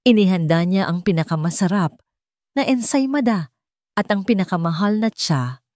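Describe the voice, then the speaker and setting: A woman with a Filipino accent narrating a scripted dramatic story in a measured storytelling delivery. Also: medium pitch, lively intonation, darkish tone, no echo, clean studio sound.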